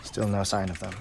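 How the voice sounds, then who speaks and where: An actor playing a calm, measured, dryly wry man who dies every twenty-one minutes, in a video game cutscene. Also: deep voice, gravelly, background noise, full character voice. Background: game soundtrack music.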